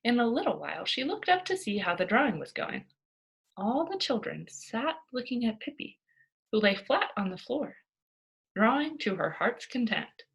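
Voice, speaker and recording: Animated woman reading a children's story. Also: fairly high pitch, moderate pace, gravelly, husky, low-quality recording. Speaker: storybook reader. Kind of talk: expressive children's read-aloud narration.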